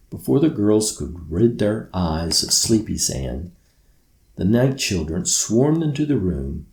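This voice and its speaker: A man narrates a children's storybook in a low register. He takes his time with each phrase, and the room around him adds a noticeable echo. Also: creaky, a little mellow. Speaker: author reading own book aloud. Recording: roomy echo.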